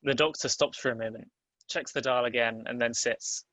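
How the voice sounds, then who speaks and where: A man reads screenplay directions as scripted narration. His voice is bright and crisp-edged, fairly flat in pitch, and recorded dry and close. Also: moderate pace, no room sound. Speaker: narrator reading stage directions. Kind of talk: scripted narration at a table read.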